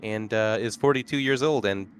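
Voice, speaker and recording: Sarcastic male player, lowish voice, casual banter, faint hiss. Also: moderate pace. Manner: sardonic, joking, swears. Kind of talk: casual chat.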